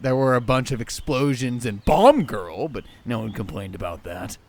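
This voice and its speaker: A man's voice: medium pitch, normal speed, darkish tone, natural voice. A man playing a brash, emotional teenage boy in an anime fan dub, swinging between hesitation and enthusiasm. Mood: dejected.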